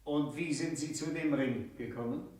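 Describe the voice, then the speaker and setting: An actor playing a formal court judge, putting terse questions in a 1960s German radio play. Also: male voice, mid-range voice, moderate pace, a little mellow, lightly acted. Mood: stern, detached. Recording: echoey courtroom sound, far from mic.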